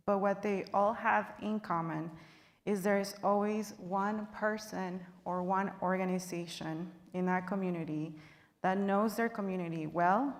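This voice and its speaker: A woman from Guatemala speaking on a panel, earnest and measured with occasional fillers. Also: lowish voice. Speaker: panelist, business partner. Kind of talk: panel remarks. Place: large convention hall. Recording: reverberant hall sound.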